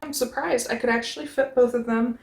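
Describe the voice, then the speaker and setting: A young woman vlogging casually about organizing shoes, upbeat and chatty with a booming voice, recorded on a camera mic in a small bedroom. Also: steady tone, brisk, creaky, over music. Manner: chatty, upbeat, says she has a booming voice. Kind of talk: casual how-to vlog. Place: small bedroom with shoe bins. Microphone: camera mic, close then from across the room. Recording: echoey room.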